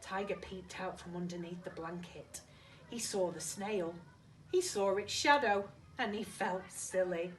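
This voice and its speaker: A woman's voice: fairly low pitch, measured pace, recorded from a distance, slight background noise, low-quality recording. A woman reading a children's story expressively, dramatic and addressing young listeners directly. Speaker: primary school teacher reading to children.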